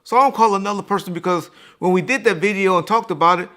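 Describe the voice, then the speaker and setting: Higher-pitched American man, casual podcast host. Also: fast, fairly bright tone. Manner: informal, slangy, emphatic. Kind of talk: casual recollection and chat.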